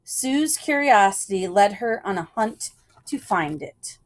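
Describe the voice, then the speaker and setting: A woman reading a children's picture book aloud in a storytelling style, with measured phrasing and deliberate pauses. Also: mid-range voice, animated.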